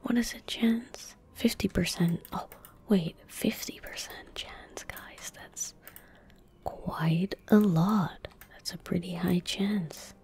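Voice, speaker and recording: A young woman gaming streamer in soft-spoken ASMR style, murmuring to herself in a breathy whisper at a close microphone. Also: fairly low pitch. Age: young adult. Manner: unhurried, murmuring to herself as she plays. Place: indoor room at a gaming setup. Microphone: close desk microphone.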